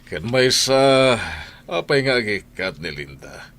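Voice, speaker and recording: A man's voice: mid-range voice, very animated, slow pace, a bit bright, full character voice. A man playing a questioning head of household in an audio drama. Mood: reproving. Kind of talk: audio drama acting in dialogue.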